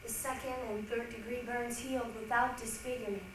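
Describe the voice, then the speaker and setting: A woman giving a theatrical, deliberate dramatic narration as a readers' theatre performer. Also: fairly high pitch, fairly even intonation. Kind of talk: dramatic recited stage narration. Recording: very echoey hall, distant mic, faint noise.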